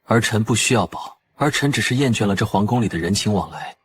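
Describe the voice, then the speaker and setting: A world-weary young prince speaks in a fairly low voice. He is sardonic and wry, delivering unhurried asides as in an animated fantasy drama. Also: breathy edge. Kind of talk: Chinese animated fantasy drama acting with inner monologue.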